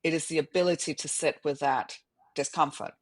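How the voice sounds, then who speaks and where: A woman's voice: low-pitched, steady tone, brisk. A middle-aged woman from Denmark, a native Danish speaker, talking reflectively and informally as a guest in an interview. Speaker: podcast guest, author and yoga teacher. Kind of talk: conversational interview talk.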